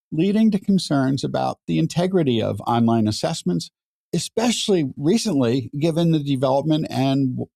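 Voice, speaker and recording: Dark-voiced man hosting a podcast. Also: mid-range voice, no echo, clean studio sound. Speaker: podcast host and moderator. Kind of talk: conversational interview moderating.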